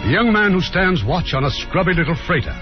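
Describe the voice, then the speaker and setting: A man introduces drama as a 1950s radio host, reading with lively intonation. Music plays clearly behind him on the muffled old broadcast. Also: sits high, a little fast. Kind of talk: scripted 1950s radio narration.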